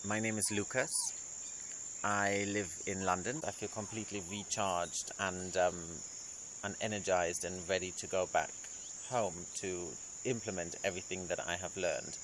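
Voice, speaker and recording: A man giving a calm, upbeat retreat testimonial. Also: low-pitched, normal speed, a little hiss or hum. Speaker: retreat participant.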